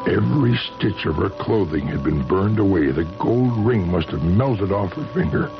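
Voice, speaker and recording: An actor playing a distraught older husband in a 1970s radio drama, halting and repeating his words dramatically. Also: sits low, expressive. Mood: haunted, agitated. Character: distraught older husband recounting his wife's strange tale. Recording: muffled vintage radio recording, loud music.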